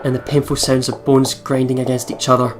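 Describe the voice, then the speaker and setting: A man's voice: medium pitch, monotone, brisk. A man hosting a let's play, reading visual novel narration aloud in a dramatic storytelling manner.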